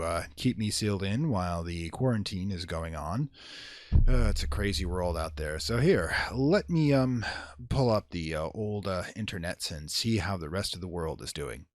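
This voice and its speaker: A man's voice: low-pitched, lively intonation, a little slow, dry recording, studio quality. A man hosting and narrating a horror audio show in a theatrical, playfully ominous manner. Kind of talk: theatrical horror storytelling, intro chat then dramatic reading.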